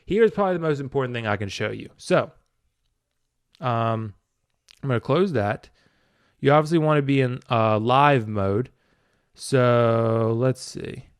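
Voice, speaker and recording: Male tutorial presenter, informal and conversational, crisp tone, dry recording. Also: mid-range voice, animated, measured pace, pauses often, no echo. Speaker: tutorial video maker. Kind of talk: casual hands-on app tutorial.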